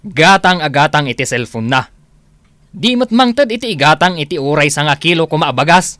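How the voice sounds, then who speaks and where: A man's voice: a little high, expressive, normal speed, fairly bright tone, no room sound. A man acting a stern, hardworking farmer and older brother in a radio drama, grumbling with an Ilocano accent. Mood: disapproving.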